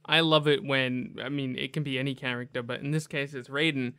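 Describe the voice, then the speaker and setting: Casting: young male gaming host, mid-range pitch, chatty and effusive, casual commentary. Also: level tone, brisk, noisy background.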